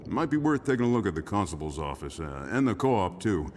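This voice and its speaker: Game drama casting: easygoing male barkeep, low register, animated intonation, wry and a little weary. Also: fairly quick, slight background noise. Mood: helpful, guarded. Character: genial, world-weary small-town barkeeper. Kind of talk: cinematic video game drama acting.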